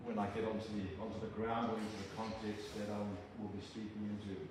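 Older South African male preacher; earnest, halting delivery; distant mic, big church reverb. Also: fairly low pitch, level tone. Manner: earnest, halting, with frequent restarts. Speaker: visiting preacher. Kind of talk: sermon with prayer. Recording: very echoey church from a distant mic with room noise.

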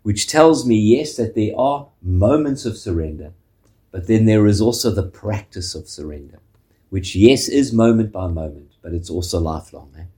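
A man with a South African accent preaching a sermon as pastor, measured, often ending points with a questioning "eh?". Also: fairly low pitch, lively intonation, dark tone.

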